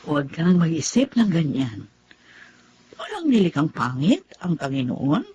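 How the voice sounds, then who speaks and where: Kind nun, lowish voice, unhurried, gentle n reassuring, radio drama, bit noisy. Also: female voice, big pitch swings, dull audio. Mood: comforting. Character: kind, soothing nun running an orphanage. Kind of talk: Tagalog radio drama acting.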